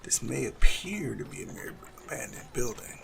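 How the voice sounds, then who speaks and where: A man playing a suave, cunning assassin in love, speaking softly and formally in a romantic audio roleplay with a gravelly creak. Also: fairly low pitch, big pitch swings, normal speed, background noise, full character voice. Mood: tender, inviting. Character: lovestruck male assassin, suave, cunning, tenderly courting a noble. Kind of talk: romantic audio roleplay acting addressed to the listener.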